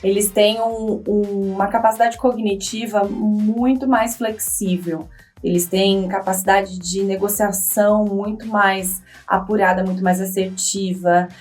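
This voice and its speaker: A woman educator, speaking in an animated way in a podcast panel discussion. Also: mid-range voice, a little slow, recorded from a distance, faint music behind. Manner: animated, acts out parents' worries in quoted voices. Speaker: educator at a bilingual school.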